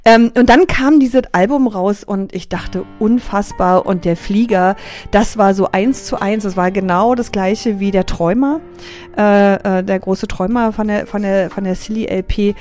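A middle-aged woman from East Berlin with an East Berlin accent, telling stories as a radio guest, lively, with many fillers and restarts. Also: medium pitch, normal speed, soft background music. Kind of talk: casual radio interview.

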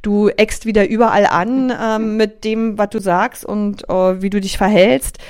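A woman's voice: medium pitch, unhurried, smooth, no echo. East Berlin woman, dry studio voice. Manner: lively storytelling with many fillers and restarts. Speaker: guest, radio journalist. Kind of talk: casual radio interview.

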